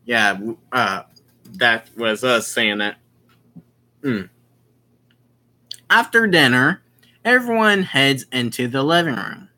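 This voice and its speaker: A man reading a visual novel aloud with casual commentary, halting and often repeating his phrases. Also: medium pitch, very animated, pushed and bright, rough recording.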